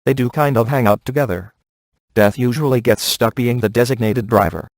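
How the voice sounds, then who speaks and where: A man acting a cocky, laid-back ghost hunter in a video game, sardonic and offhand with deadpan sarcasm. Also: medium pitch, fairly quick, dry recording, clean studio sound, close to an everyday voice. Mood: dry, wisecracking.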